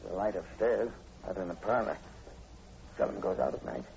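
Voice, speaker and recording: An actor playing a plain-spoken police sergeant, uneasy yet dogged, laconic and hesitant, in old-time radio drama style. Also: male voice, sits low, expressive, normal speed, gravelly. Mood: businesslike. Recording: dull, noisy old radio recording.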